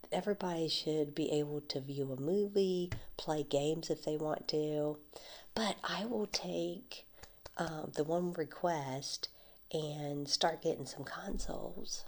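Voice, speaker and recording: A woman in an ASMR roleplay as a friendly flea market vendor, speaking softly, often slipping into whispers, with light frequent laughs. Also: deep voice.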